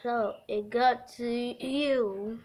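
A woman livestreaming a visual novel, reading the text aloud haltingly and adding goofy, casual asides. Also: a little high, steady tone, darkish tone, a little hiss or hum.

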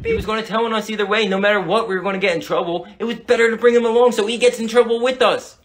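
A man's voice: high voice, rapid-fire. A man playing a defensive, exasperated teenage brother who justifies himself in a family comedy skit. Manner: animated, self-justifying.

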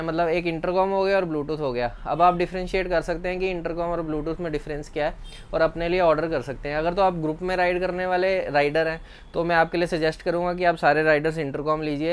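A man's voice: fairly high pitch, clear, resonant tone. A man in his thirties with an Indian accent, explaining products to camera in an informal, instructive vlog style. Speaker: vlog host and bike accessories seller. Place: small indoor accessories shop.

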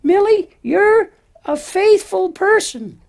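A woman voicing an excitable, childlike little lamb with an earnest, emphatic manner in a children's puppet show. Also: very high voice, takes it slowly, gravelly, exaggerated voice. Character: excitable little lamb, easily upset, devoted to friend.

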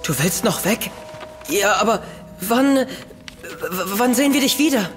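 A man's voice: very high voice, expressive, measured pace, fairly bright tone, heavily acted. A young man playing an anxious, obedient nephew in a German audio drama. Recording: long reverb, faint music behind.

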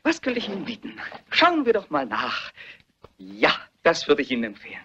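An actor playing a fussy, salesmanlike employment agent in a German crime radio play, with a husky, sharp voice. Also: male voice, on the high side, wildly swooping pitch, moderate pace, highs cut off, a little hiss or hum, exaggerated voice. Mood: effusive, coaxing. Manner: obliging, effusive, salesmanlike.

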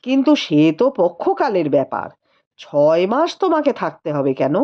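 A woman's voice: on the low side, sing-song, moderate pace, warm tone, lo-fi sound, voice pushed down. A woman voicing a learned, caring husband with a formal, questioning manner in a storybook reading. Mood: puzzled, questioning. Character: learned physician husband, adult man, caring, puzzled.

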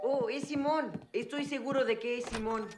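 A woman's voice: sits high, steady tone, normal speed, natural voice. A woman voicing a cheerful, eager young boy rabbit in a children's cartoon, with a Latin American Spanish accent and a playful manner. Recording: music behind the voice.

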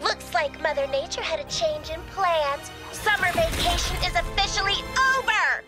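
A woman's voice: very high voice, normal speed, pitched above the performer's own voice. A woman voicing a gloating teenage girl villain in a cartoon audio drama, theatrical and taunting. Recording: music behind the voice.

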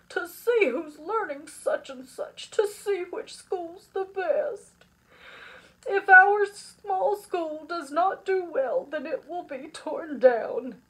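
A woman's voice: on the high side, darkish tone, pitched below the performer's own voice, rough recording. A woman voicing a fretful, weepy male school principal from a children's storybook, with theatrical, declamatory delivery. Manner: theatrical, sobbing, declamatory announcements.

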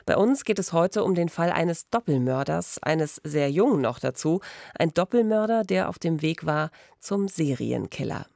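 A woman's voice: sits low, lively intonation, moderate pace, creaky, dark tone, no echo. A woman in her thirties co-hosting a podcast in a conversational way at a studio microphone. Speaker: podcast co-host, journalist. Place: small radio studio, indoors. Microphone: studio microphone on boom arm.

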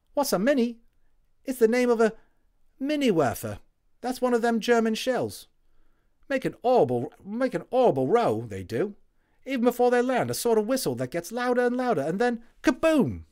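A chatty Cockney army medic. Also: male voice, sits high, darkish tone, voice pushed up. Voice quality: chatty, rough-and-ready working-class speech.

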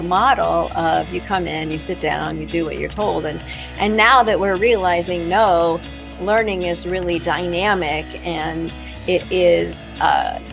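A woman's voice: fairly low pitch, creaky. A middle-aged woman, a middle school teacher, speaking earnestly and thoughtfully from her classroom as a podcast guest. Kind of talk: podcast interview.

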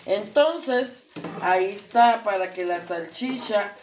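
Slow chatty Mexican woman cooking. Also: mid-range voice, dull audio, noisy background. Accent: Mexican Spanish. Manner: slow, chatty, many fillers. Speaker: home cooking vlogger. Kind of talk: casual cooking demonstration. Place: home kitchen, indoors. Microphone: camera across the stove.

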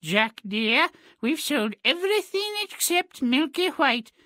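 A woman's voice: sits high, very animated, slow, pushed and bright, no echo, studio quality, heavily acted. A woman voicing a poor, firm country mother in a fairy-tale video game. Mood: resigned.

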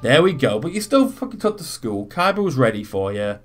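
A man's voice: medium pitch, sing-song, a little fast. Sweary male gamer over game music. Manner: sweary, talks through his moves aloud. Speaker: gaming video host. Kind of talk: casual let's play commentary. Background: video game music.

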